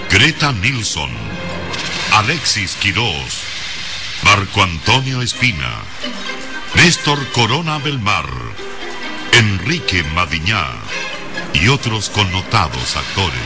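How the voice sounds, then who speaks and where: Deep theatrical male radio announcer. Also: big pitch swings, slow, long pauses, over music.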